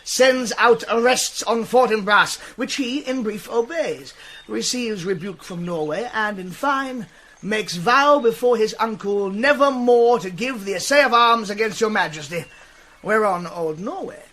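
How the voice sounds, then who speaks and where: An actor playing a formal, dutiful ambassador, giving a declamatory report in a classical Shakespearean drama. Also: male voice, high voice, a little slow, fairly bright tone. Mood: formal, emphatic.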